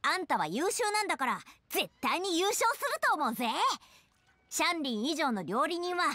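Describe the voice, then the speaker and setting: A woman's voice: high-pitched, big pitch swings, normal speed, bright, forceful tone, no echo, full character voice. A woman voicing a brash, friendly young female rock musician in a Japanese anime game, tomboyish and slangy. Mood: encouraging. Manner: tomboyish slangy speech, encouraging.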